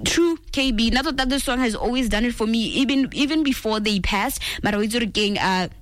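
A woman's voice: a little high, brisk, no echo. A woman from Botswana with a Botswana accent, hosting a radio show and reading out listener messages in a casual, chatty way, mixing languages as she goes. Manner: chatty, switching between languages mid-sentence. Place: radio studio.

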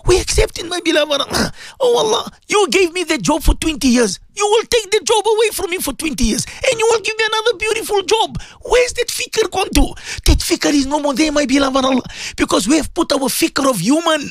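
A man's voice: extremely high pitch, animated, a little fast, hardly any pauses, dry recording. A South African man preaching an impassioned supplication, pleading and emotional, repeating his phrases. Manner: pleading, emotional, repetitive, often rising to a cry. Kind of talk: impassioned religious supplication sermon.

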